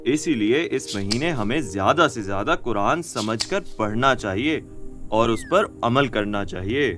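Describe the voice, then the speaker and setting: Guy voicing a friendly cartoon teacher man, super lively pitch, explains stuff to kids, music behind him. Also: a little slow, close to an everyday voice. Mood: gently instructive. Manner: animated, explanatory, addressing children directly. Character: kindly, encouraging man teaching young children. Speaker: teacher figure explaining religion to children. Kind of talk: children's educational cartoon dialogue.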